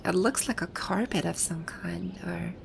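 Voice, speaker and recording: A woman playing a haughty, grouchy video game villain boss with a secretly soft side, speaking in imperious, formal grumbles in an ASMR roleplay. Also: fairly low pitch, unhurried, creaky, a little hiss or hum. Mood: musing, grouchy. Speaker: audio roleplay voice actor.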